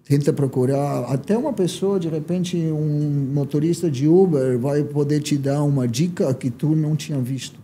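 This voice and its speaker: A man's voice: fairly high pitch, normal speed, hardly any pauses, mellow, a little hiss or hum. A man from Uruguay with a Uruguayan accent and a southern Brazilian lilt, telling his story as a guest in a casual conversation, with many tag questions. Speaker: guest, entrepreneur.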